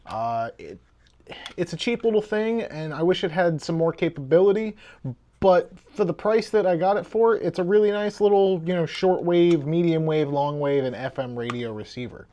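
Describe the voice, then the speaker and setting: A man's voice: a little high, normal speed, dark tone, lo-fi sound. A man giving a casual hands-on review as host of a video.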